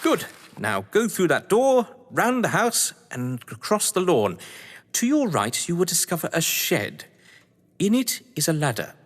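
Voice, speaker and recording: A man's voice: a little high, huge pitch swings, a little slow, exaggerated voice. Man playing a pompous, swooping English crime novelist. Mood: gleeful, commanding. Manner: pompous, arch, bossy. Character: theatrical, scheming English crime novelist, lord of the manor. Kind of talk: audio drama acting. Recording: long hall-like reverb.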